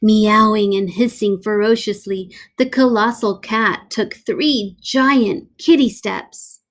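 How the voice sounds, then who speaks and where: A woman teacher reading a picture book aloud to children, telling the story in an animated way with playful asides. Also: mid-range voice, slow. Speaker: elementary school teacher.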